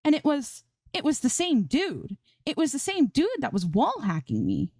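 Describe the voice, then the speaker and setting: A woman voicing an excitable, indignant young female game streamer in a story reading, with slangy, exclamatory protests. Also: sits high, moderate pace, no echo, studio quality, voice pushed up, exaggerated voice. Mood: indignant disbelief. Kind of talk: acted dialogue in fan fiction reading.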